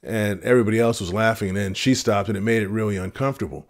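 Deep-voiced earnest man in his fifties. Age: 50s. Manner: earnest, measured, with frequent fillers and restarts. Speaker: retirement vlogger. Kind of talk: casual advice talk to camera. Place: home room with shelves of vinyl records. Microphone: camera at close framing.

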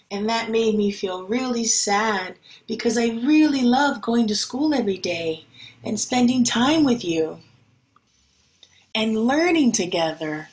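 A woman teacher reading a picture book and talking to young children in an expressive, deliberate, child-directed way. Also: mid-range voice, poor audio. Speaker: schoolteacher reading to pupils. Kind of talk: picture-book read-aloud and talk to children.

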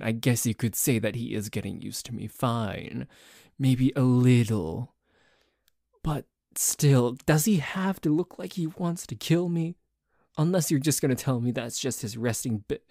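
Man acting a mellow, grumbling tsundere boyfriend. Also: medium pitch, vocal fry, no echo, clean studio sound. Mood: grudging. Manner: bickering, halting, sighing, cocky. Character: dorky, jealous, grumbling tsundere boyfriend who dislikes a cat. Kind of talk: ASMR boyfriend roleplay.

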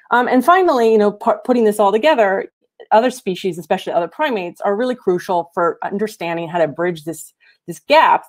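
A woman's voice: on the low side, gravelly, a little mellow. A woman giving an explanatory research seminar talk over a video call, sometimes repeating words as she goes. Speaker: academic researcher presenting.

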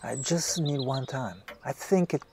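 A lovesick weak-willed writer in an audio drama, slow and hesitant, noisy recording. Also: male voice, medium pitch, gravelly, a little mellow. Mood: pleading. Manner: hesitant, imploring. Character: distracted, weak-willed male writer, infatuated lover.

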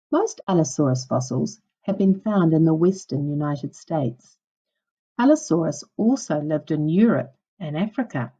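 A woman with a lowish, softly mellow voice reads a children's non-fiction book like a primary teacher. Her intonation moves up and down a lot, and her phrasing is instructive and easy for young listeners to follow. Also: muffled recording. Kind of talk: children's non-fiction read-aloud lesson.

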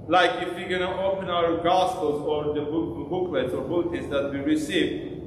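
A priest with a high, unhurried voice gives a sermon in a small church and keeps asking the congregation questions. He sounds heavily echoed and distant, picked up by a camera across the room. A faint hum of the room sits behind him. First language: Armenian. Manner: interactive, asks the congregation questions. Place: small church sanctuary, indoors. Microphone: far camera.

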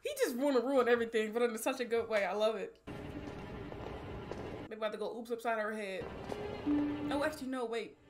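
A woman's voice: fairly high pitch, a little fast, pauses often. A young woman giving casual, slangy and exclamatory movie reaction commentary, swearing freely. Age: young adult. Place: small bedroom studio. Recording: loud movie soundtrack behind. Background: film audio and music.

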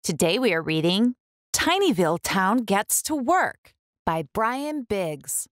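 A woman reading a picture book aloud for young children, expressively, with deliberate, clear enunciation. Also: medium pitch, very animated, no room sound, clean studio sound. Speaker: children's book reader, host.

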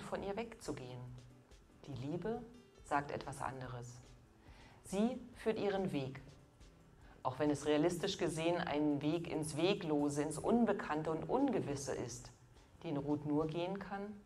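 A woman in her fifties gives a prepared sermon in a low voice. Her phrasing is measured and deliberate, broken by pauses. Faint music plays behind her. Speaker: preacher. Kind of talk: scripted sermon.

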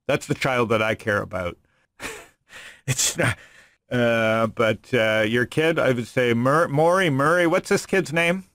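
A man's voice: medium pitch, measured pace, a bit bright, dry recording, studio quality. A middle-aged man hosting a casual podcast, rambling and joking irreverently. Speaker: podcast host and venture investor.

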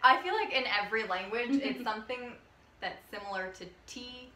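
A woman's voice: on the high side, lively intonation, brisk, bright, forceful tone. A young woman hosting a casual chat over tea, chatty and eager, with a ready laugh. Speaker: host. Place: small bright room with a tea table. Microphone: far camera. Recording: echoey room from far off, faint hiss.

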